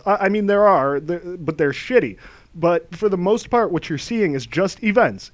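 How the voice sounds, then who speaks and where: Male voice-over narrator, higher register, dry close read, scornful ranting delivery. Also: dry recording. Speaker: video essay narrator. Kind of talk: scripted commentary narration.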